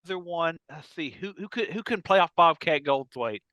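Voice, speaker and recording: A man hosting a casual comedy discussion, thinking out loud in a halting way and repeating himself. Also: a little high, expressive, dry recording, highs cut off. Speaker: podcast host.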